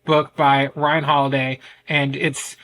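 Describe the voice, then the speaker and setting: A middle-aged American man with a loud voice, telling his story candidly in a conversational interview, with frequent restarts and fillers. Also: a little high, level tone, slow pace. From United States. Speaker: guest, men's ADHD coach.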